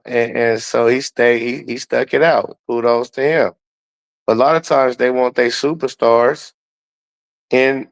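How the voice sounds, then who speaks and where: A man's voice: medium pitch, clear, resonant tone, low-quality recording. A man giving his opinions on sports in casual, slangy, profane talk, often repeating words to make his point. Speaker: guest commentator.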